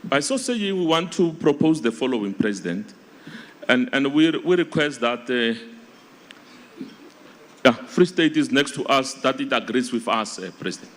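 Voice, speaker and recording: Casting: male South African delegate, mid-range voice, formal but halting congress speaker who often repeats himself. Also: medium pitch, lively intonation. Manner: halting, repeats words, formal appeals to comrades. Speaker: provincial delegate. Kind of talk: addressing a congress from the floor.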